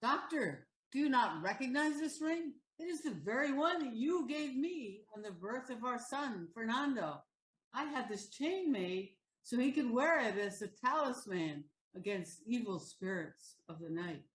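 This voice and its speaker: A woman's voice: fairly high pitch, animated, a little slow, roomy sound, low-quality recording. An actress playing a middle-aged, sentimental mother in an audio play, earnest and motherly. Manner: earnest, motherly, formal speech.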